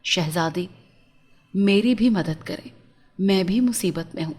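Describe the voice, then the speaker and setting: Woman acting young fairy-tale prince, lowered pitch, courteous, urgent, pleading. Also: a little slow, a little mellow, slightly rough. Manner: formal, respectful, urgent address.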